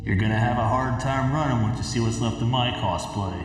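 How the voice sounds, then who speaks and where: Guy doing a menacing game villain, bitter and taunting, drags his words out, loud music behind. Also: mid-range voice, fairly quick, reverberant, lightly acted.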